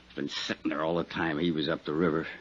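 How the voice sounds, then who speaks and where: A man's voice: rumbling low, level tone, fast, slightly rough. An American man playing a crooked, sly insurance investigator in a 1950s radio drama, talking slangy, wheedling tough-guy talk. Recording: dull, noisy 1950s radio recording.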